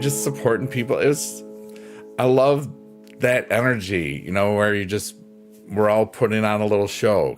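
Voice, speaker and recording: A deep-voiced man hosts a laid-back livestream, drifting from thought to thought with lots of "you know" and "um". His voice sits very low, and soft plucked-string music plays faintly behind him. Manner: rambling, unhurried, many fillers like "you know" and "um". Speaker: livestream host. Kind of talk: casual live-stream chat, reading viewer comments.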